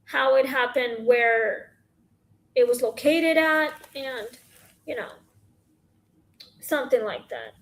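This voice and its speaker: A woman with a scratchy voice hosting a casual question-and-answer chat, halting and rambling with many fillers, talking into her phone. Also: high-pitched, lively intonation. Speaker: podcast host.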